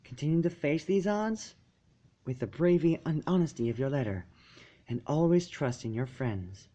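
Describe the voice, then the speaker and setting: An ancient, kindly pony princess acted out by a man speaks softly in a storybook reading. The voice is formal, measured and encouraging, with a lilting rise and fall. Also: on the high side, animated, muffled recording. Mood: gentle, encouraging.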